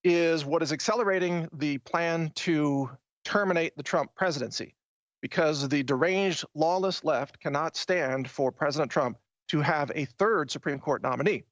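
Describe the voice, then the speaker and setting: A man's voice: fairly high pitch, moderate pace, no echo. An American man hosting a political talk show, speaking in an emphatic, opinionated, conversational monologue. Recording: dull, band-limited dry audio.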